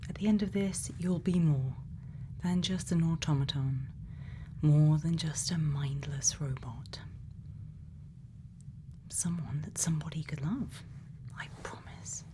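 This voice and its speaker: A woman playing a gentle, quietly kind scientist in a soft, often whispered ASMR roleplay, soothing and reassuring with many pauses. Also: low voice, background noise. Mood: tender, reassuring. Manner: slow, soothing, pausing, reassuring. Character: gentle female scientist, quietly kind, programming a robot friend.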